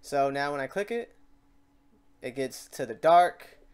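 Man, mid-to-high pitch for a male, clean smooth voice, informal tutorial host, thinks aloud, self-deprecating. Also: expressive, a little slow. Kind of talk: casual, improvised software tutorial.

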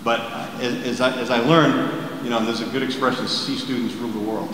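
A man's voice: mid-range voice, steady tone, a little fast. A man in his sixties giving a keynote in a candid, plainspoken way, with frequent restarts, answering questions before a seated audience. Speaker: keynote speaker. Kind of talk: conversational keynote Q and A. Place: large hall with a seated audience. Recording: echoey hall with background noise.

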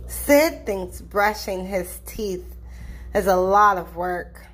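A woman reading a children's storybook aloud in an expressive, deliberate narrating style. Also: medium pitch, husky, rough recording.

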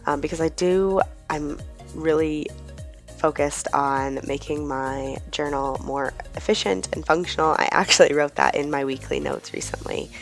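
A woman vlogger, hoarse from a cold, chatting casually in a rambling voice-over with many ums. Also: low voice. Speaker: planner vlogger.

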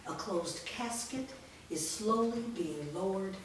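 A woman reads screenplay directions aloud at a seated script reading, keeping a steady, descriptive pace. Her voice sits at a middle pitch. It is picked up from a distance in a roomy studio, with faint background noise. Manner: measured, descriptive. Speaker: stage-direction reader. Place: large indoor rehearsal studio. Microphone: far camera mic. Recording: echoey studio from far off.

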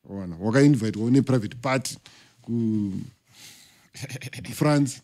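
A middle-aged Zimbabwean man, a podcast guest, speaking emphatically about the music industry into a studio boom mic. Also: slow, dark tone. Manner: emphatic, lecturing, talks with his hands. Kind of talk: podcast interview, mixing English and Shona. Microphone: studio mic on boom arm.

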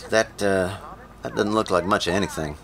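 An actor playing a weary, exasperated small-town sheriff with gruff, folksy authority in an audio drama. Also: male voice, low-pitched, moderate pace, fairly bright tone. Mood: weary, stern.

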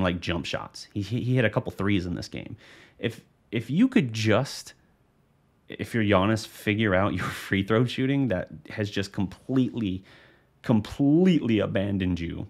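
American male sports analyst, thirties, low register, conversational and loosely unscripted. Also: darkish tone. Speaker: sports show host and analyst. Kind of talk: casual unscripted basketball postgame commentary. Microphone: studio microphone on a boom arm.

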